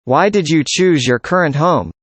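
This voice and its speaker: A man's voice: a little high, moderate pace, dry recording. Man reading scripted classroom dialogue. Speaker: student in a dialogue.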